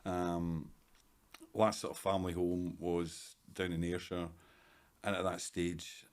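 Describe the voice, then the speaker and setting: A Scottish man in his fifties, a hairdresser guest in a casual interview, talking in a dry, self-deprecating, measured way. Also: sits low, little pitch movement. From Scotland. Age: 50s.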